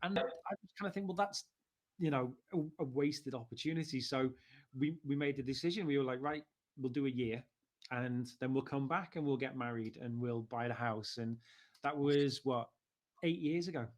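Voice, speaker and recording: A man's voice: mid-range voice, normal speed. A man in his thirties with a Liverpool accent, chatting as a podcast guest in a rambling way with many fillers. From Liverpool, England. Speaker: interview guest. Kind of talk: casual interview chat.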